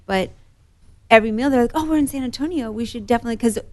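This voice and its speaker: A woman's voice: fairly high pitch, racing. Cheerful woman guest telling stories. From Morocco. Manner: warm, cheerful storyteller, laughs often. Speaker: podcast guest. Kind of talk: casual interview chat.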